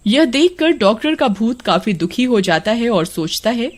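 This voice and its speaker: A woman's voice: a little high, very animated, moderate pace. A woman reading scripted story narration as a storyteller. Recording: music behind the narration.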